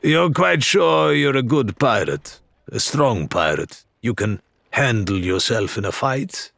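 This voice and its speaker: A man voicing a suave, courteous, teasing male pirate with unhurried, theatrical phrasing in an audiobook. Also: mid-range voice, expressive, gravelly, fairly bright tone, dry recording, full character voice. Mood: musing, teasing. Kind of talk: audiobook character acting.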